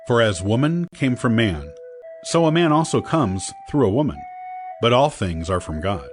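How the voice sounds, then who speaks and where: A man reading scripture as an audio Bible narrator, in a measured, declarative scripted style. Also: on the low side. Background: background music.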